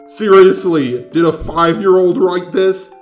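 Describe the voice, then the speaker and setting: A man's voice: high voice, measured pace. A man delivering scripted video commentary in an emphatic, sarcastic and incredulous manner. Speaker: video essayist host. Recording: roomy echo, music behind. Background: background music.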